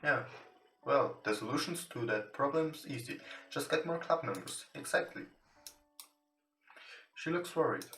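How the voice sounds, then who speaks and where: A man with non-native English gives casual let's play commentary at a slow, halting pace, reading game text as he goes. His mid-pitched voice sounds roomy and set back from the mic. Gentle guitar music plays behind him. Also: far from the mic. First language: not English. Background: soft guitar game music.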